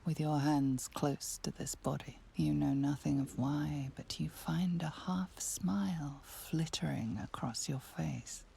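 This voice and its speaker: A woman playing an ominous, whispery narrator of a dark fantasy video game. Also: sits low, steady tone, takes it slowly, gravelly, hardly any pauses, a little hiss or hum, heavily acted. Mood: ominous, hushed. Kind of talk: scripted second-person narration. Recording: faint music behind narration.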